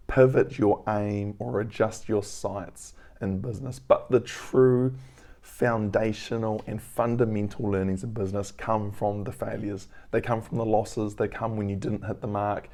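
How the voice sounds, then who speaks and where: A man in his thirties with a hoarse, crackly voice from losing it, clearing his throat often as he gives an earnest, rambling weekly business vlog update from home. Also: fairly low pitch, little pitch movement, moderate pace, mellow. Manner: earnest, reflective, rambling with fillers. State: hoarse, lost voice. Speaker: business owner vlogger.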